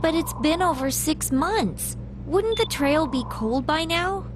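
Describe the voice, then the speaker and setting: A woman's voice: sits high, expressive, slow, noisy background. A woman playing a worried, doubtful teenage girl in a video game. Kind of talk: English game voice acting.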